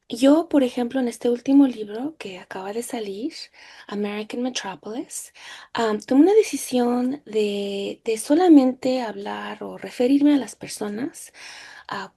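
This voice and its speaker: A woman's voice: medium pitch, mellow. A woman with a Mexican accent speaking as a historian in an academic panel, reflective and hesitant, often seeking agreement.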